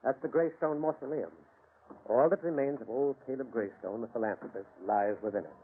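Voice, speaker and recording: An actor playing a confident, soothing criminologist in an old-time radio drama, speaking in an articulate, theatrical and reassuring way. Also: male voice, mid-range voice, fairly quick, slight background noise, close to an everyday voice. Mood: soothing, teasing. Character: confident, soothing male criminologist investigating eerie mysteries. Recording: muffled vintage radio broadcast.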